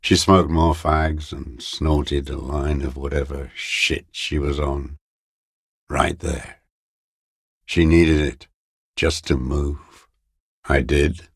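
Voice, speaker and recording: A man playing a bitter, hateful old communist deserter in a video game, brooding and contemptuous, with a gravelly, raspy voice and halting delivery. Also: rumbling low, little pitch movement, dry recording, studio quality, full character voice. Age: older. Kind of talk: video game character monologue.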